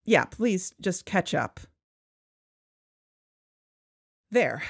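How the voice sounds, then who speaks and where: A woman's voice: on the low side, very animated, slow pace, creaky, slightly rough, no echo, studio quality, full character voice. A woman playing an earnest, candid podcast co-host, speaking in a conversational scripted style. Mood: wry, serious. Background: background music.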